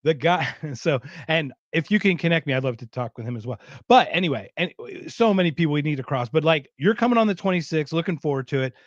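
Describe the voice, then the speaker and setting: A man hosting a podcast, talking in a loose, rambling way with frequent restarts. His voice sits a little high for a man. The recording sounds dry and slightly dull. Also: animated, quick pace, few pauses, dry recording. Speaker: podcast host. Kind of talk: casual chat.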